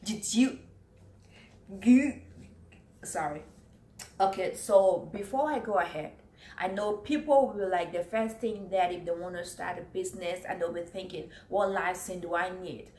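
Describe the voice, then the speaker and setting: A woman's voice: lowish voice, unhurried, darkish tone. A woman from Cameroon, giving business tips to camera in an earnest way, repeating her points and working from notes. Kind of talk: casual talk to camera. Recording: roomy echo, faint background noise.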